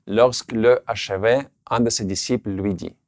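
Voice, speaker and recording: A man who speaks French, his language, giving an earnest, measured sermon. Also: on the low side, steady tone, dry recording, clean studio sound. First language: Russian. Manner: earnest, measured, slight restarts on words. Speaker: preacher.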